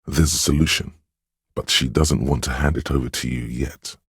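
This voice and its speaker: A man voicing a game's inner voice of empathy, a gentle, perceptive, sorrowful narrator who speaks in a measured, solemn, intimate way. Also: rumbling low, steady tone, slightly rough, dry recording, studio quality, full character voice. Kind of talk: scripted second-person game narration.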